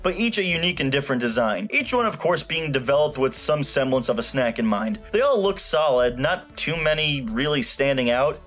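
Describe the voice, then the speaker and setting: A man's voice: fairly high pitch, fairly bright tone. A man giving an opinionated, scripted video review in an emphatic, sarcastic, casually profane way. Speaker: film reviewer. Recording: music playing behind the voice. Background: background music.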